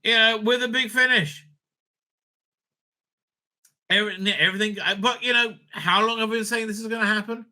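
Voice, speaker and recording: A man giving unscripted, profane, opinionated commentary as a video host, stammering and repeating himself in an excitable way. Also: high voice, moderate pace, pauses often.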